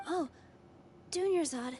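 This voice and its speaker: Earnest high-voiced young friend. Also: female voice, takes it slowly, background noise. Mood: concerned. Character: kind, earnest young woman, caring friend. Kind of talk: video game voice acting.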